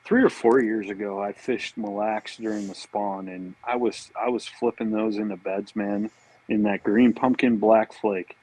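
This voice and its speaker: A man's voice: on the low side, fairly even intonation, moderate pace, a little mellow, husky, rough recording. A man with a laid-back, slangy way of talking, chatting casually about fishing as a podcast guest.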